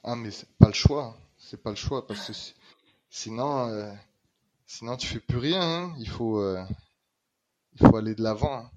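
A middle-aged man from Réunion with a Réunionese French accent tinged with Québécois, talking in an emphatic, lively way and repeating words for stress. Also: medium pitch, measured pace. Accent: Réunionese French with some Québécois color. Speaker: guest. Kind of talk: casual conversation.

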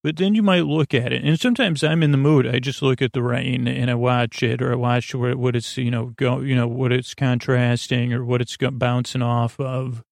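Creaky low-key guy rambling a bedtime podcast, trails off and repeats himself, kinda goofy, really dry close sound. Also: steady tone, no room sound. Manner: meandering, halting, often trailing off and repeating words; gently goofy, whimsical. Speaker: podcast host. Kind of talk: rambling bedtime monologue to help listeners sleep.

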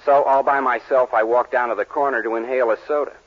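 A man's voice: medium pitch, steady tone, quick pace, close to an everyday voice. Man playing a slangy young suitor, muffled. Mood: glum, puzzled. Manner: casual, slangy. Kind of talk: 1940s radio commercial acting. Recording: muffled vintage radio recording.

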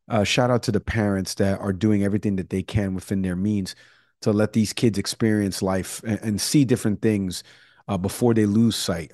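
A man with a lowish voice hosts a talk segment and tells a story loosely, stumbling and restarting as he goes. The sound is dry and close to the mic. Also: darkish tone, dry recording. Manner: rambling, with fillers and restarts. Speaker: show host. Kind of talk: casual news talk segment.

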